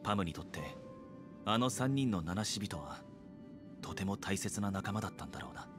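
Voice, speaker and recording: A man voices a serious young companion in an anime game. His voice is low and reserved, with sober phrasing and clear music behind it. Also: expressive, normal speed, close to an everyday voice. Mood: reflective.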